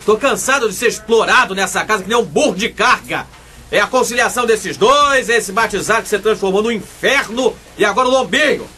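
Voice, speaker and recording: Bright, excitable Brazilian husband. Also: male voice, sits high, brisk, slight background noise. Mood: indignant. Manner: melodramatic, wry. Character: put-upon, excitable young father, Olavo. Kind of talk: comedic radio soap acting and narration.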